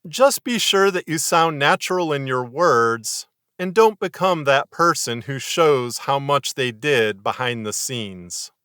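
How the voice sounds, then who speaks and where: Bright, close-sounding man giving writing advice. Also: mid-range voice, expressive, slow, smooth, dry recording. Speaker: writer and fiction podcaster giving writing advice. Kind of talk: scripted instructional narration to camera.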